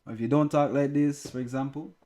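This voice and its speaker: A man's voice: medium pitch, steady tone, fast talker, warm tone. A Jamaican man co-hosting a podcast, chatting casually and agreeing easily. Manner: easygoing, agreeable, laughs along. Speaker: podcast co-host. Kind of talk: casual chat.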